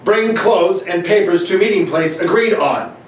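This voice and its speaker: A man's voice: high voice, unhurried. Very echoey, blustering police inspector acted by a man. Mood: flabbergasted. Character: blustering, bossy older male police inspector, a father. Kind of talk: amateur comedic radio play reading. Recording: very echoey room, distant mic, faint noise.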